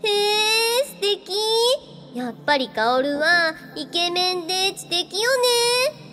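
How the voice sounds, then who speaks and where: Woman acting a very high, coquettish glamour spirit. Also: takes it slowly, music in the background, heavily acted. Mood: admiring. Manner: coy, teasing, flattering. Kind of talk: radio drama acting.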